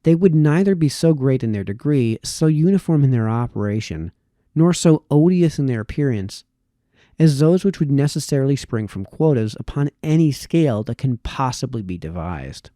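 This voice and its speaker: Male reader for formal essays: soft-edged, mellow tone, deliberate phrasing, close dry recording. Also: mid-range voice, lively intonation, no room sound. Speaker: reader, host of a reading series. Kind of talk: reading aloud formal historical essays.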